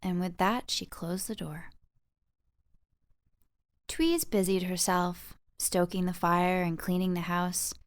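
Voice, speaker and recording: A woman narrating a scripted children's audiobook with expressive bedtime storytelling. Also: on the low side, takes it slowly, pauses often, dry recording.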